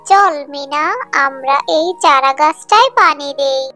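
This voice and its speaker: Earnest little cartoon village girl, extremely high and bright, slow delivery. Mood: happy. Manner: childlike, earnest. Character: earnest little village girls, sweet-loving young sisters. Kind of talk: children's cartoon story acting. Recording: music under the voice.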